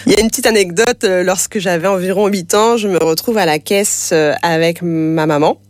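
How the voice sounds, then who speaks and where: A woman whose first language is French Sign Language, speaking as an interview guest, pausing often with fillers and restarts. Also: lowish voice, no room sound, soft background music. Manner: hesitant, many fillers and restarts. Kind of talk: casual conversational interview.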